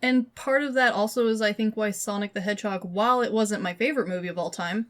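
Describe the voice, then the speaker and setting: A woman's voice: medium pitch, fast. A woman co-hosting a podcast, chatting casually and informally about entertainment. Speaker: podcast co-host. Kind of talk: casual movie discussion.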